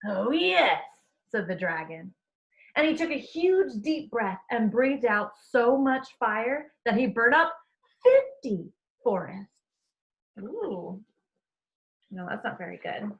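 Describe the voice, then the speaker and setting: A woman teacher reading a storybook aloud expressively, addressing young listeners in an animated way. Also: a little high, lo-fi sound.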